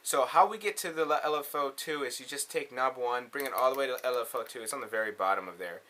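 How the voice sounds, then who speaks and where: A bright, forceful man with a mid-range voice hosts a hands-on tutorial. He speaks casually and informally, using everyday slang as he explains each step. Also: medium pitch, quick pace, slight background noise. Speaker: tutorial host.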